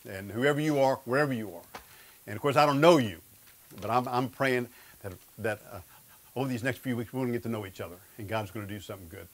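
A man's voice: medium pitch, fast. A man in his fifties who stutters, giving a humorous sermon from a studio stage with a lapel mic. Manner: stutters, jokes about it. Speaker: speaker of a men's Bible study. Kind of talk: humorous sermon to a live audience. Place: studio stage before a seated audience, indoors.

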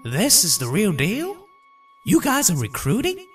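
A woman voicing a hesitant, uncertain young recruit in a cartoon fandub, speaking haltingly. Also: medium pitch, huge pitch swings, distant mic, soft background music. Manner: halting, tentative. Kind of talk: dramatic comic fandub dialogue.

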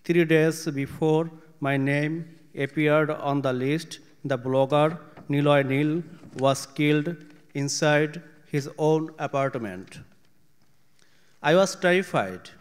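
A man in his thirties from Bangladesh reads a prepared statement at a panel, slow and careful with a few halting restarts. His voice is smooth and clear and sits a little high. It rings out through a reverberant theater hall, picked up by a stand mic on stage. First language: Bengali. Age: 30s. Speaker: panelist, exiled writer. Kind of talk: reading a prepared personal statement. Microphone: stand microphone at a panel.

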